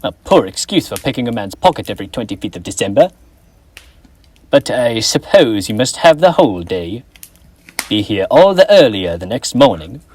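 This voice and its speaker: A young man playing a grumpy, miserly old man on a school stage, scolding in sharp outbursts. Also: fairly low pitch, very animated, moderate pace. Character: miserly, grumpy old man who later turns fearful. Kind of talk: school stage play acting.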